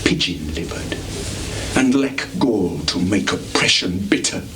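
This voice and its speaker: A male stage actor playing an anguished, self-loathing young prince bent on revenge, in a declamatory, drawn-out Shakespearean style. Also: deep voice, expressive. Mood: brooding, scornful. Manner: declamatory, drawn-out, swinging from hushed to shouting. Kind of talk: theatrical Shakespearean dramatic reading. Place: on stage in a small theatre. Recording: noisy 1980s live theatre video recording.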